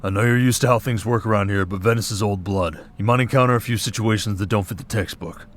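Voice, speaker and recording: A man's voice: fairly low pitch, fast, slight background noise, lightly acted. A man voicing a stern commander of monster hunters, clipped and authoritative, briefing a recruit in a scripted audio roleplay. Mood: stern, businesslike. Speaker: voice actor.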